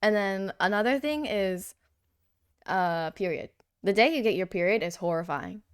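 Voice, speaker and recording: A woman's voice: mid-range voice, animated, normal speed, clean tone. A young woman co-hosting a podcast, talking candidly and casually with lots of "like" and laughter. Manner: candid, laughing often, filler "like". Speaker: podcast co-host. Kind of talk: casual chat.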